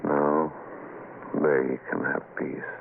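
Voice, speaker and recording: An actor playing a shaken husband in a radio horror play, speaking in a halting, dramatic way. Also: male voice, extremely low pitch, level tone, creaky, heavily acted. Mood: grim awe. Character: Chuck, shaken husband in a haunted cabin. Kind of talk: old-time radio horror acting. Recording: muffled, noisy old radio recording.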